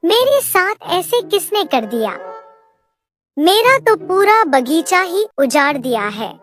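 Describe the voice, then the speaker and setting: A woman's voice: extremely high pitch, very animated, slow pace, fairly bright tone, faint music behind, voice pushed up, full character voice. A woman voicing a distraught, angry female deer in a cartoon story, wailing with indignation. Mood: shocked, distraught. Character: distraught, angry female deer finding her orchard ruined. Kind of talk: Hindi cartoon moral story acting.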